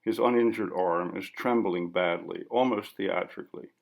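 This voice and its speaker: A man narrating literary fiction from a script in a measured, sober, clipped manner. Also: low-pitched, creaky. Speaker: audiobook narrator.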